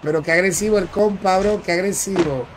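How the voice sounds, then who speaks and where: A man with a Dominican accent chats through a live gaming stream, his voice sitting notably high. He stays upbeat, greets people by name and repeats phrases in quick bursts, and loud background noise runs under everything he says. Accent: Dominican Spanish. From Dominican Republic. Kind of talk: casual live-stream gameplay chat.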